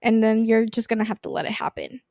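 A young woman from Illinois co-hosting a podcast, giving calm, matter-of-fact relationship advice. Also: fairly high pitch, fast, gravelly, no echo. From Aurora, Illinois. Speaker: podcast co-host. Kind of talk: casual chat.

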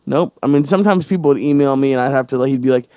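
A male podcast host and stand-up comic chats casually, stumbling through restarts and filler words. His voice sits at an ordinary pitch. The sound is close and dry, with no room around it. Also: dark tone, no room sound. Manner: halting, many restarts and fillers.

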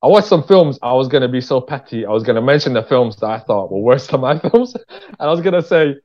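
A man's voice: medium pitch, animated, a little fast. Dull-sounding British man chatting casually. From England. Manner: informal, slangy, candid. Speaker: interview guest, writer-director. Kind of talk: casual conversational storytelling. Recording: dull, lo-fi voice recording.

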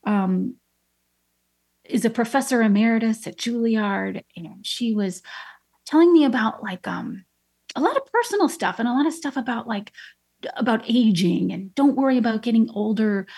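A woman's voice: fairly high pitch, expressive, moderate pace, vocal fry, a little mellow, husky. A woman in her thirties, a painter being interviewed, telling stories in a chatty way with plenty of fillers and restarts. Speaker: painter guest. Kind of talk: casual interview chat.

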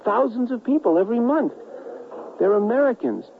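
An idealistic frontier newspaperman in a radio drama, speechifying insistently. The voice is creaky and swings widely in pitch, through a tinny old recording. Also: very animated, moderate pace, exaggerated voice. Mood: impassioned. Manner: oratorical, insistent. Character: earnest, probing, idealistic male newspaper reporter friend. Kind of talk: old-time radio western acting. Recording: tinny, noisy narrow-band radio recording.

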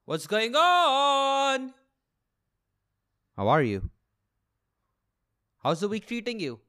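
A man's voice: very high-pitched, wildly swooping pitch, very slow, bright, forceful tone, smooth. A young man with an Indian accent rambling casually as a solo comedy podcast host, sweary and self-deprecating, with a complaining delivery. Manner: self-deprecating, sweary, repeats and rephrases himself.

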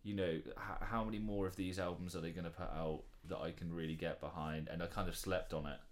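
A man co-hosting a podcast, reviewing albums in relaxed, rambling chat, his voice lower than usual after a night of drinking. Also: quick pace. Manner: relaxed, rambling, repeats words when starting sentences. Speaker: podcast co-host. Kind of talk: casual music review chat.

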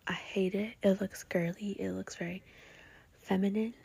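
A woman's voice: medium pitch, steady tone, moderate pace, gravelly, dark tone, breathy edge. A young woman hosting her own podcast, talking in a whispery voice in a blunt, profane, rambling way full of fillers. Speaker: podcast host. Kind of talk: casual solo podcast talk.

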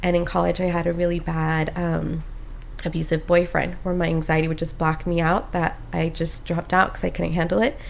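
A woman's voice: on the low side, fairly quick, gravelly, slight background noise. A young woman from Costa Rica, a postpartum doula, telling her story in a casual chat, full of "like" and "um". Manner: animated storyteller, many "like"s and "um"s.

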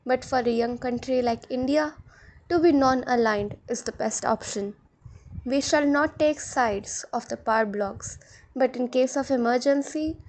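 A woman playing a resolute male Indian prime minister, reading a formal speech in measured statements for a radio roleplay. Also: fairly high pitch, darkish tone. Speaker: student performer in a school audio roleplay.

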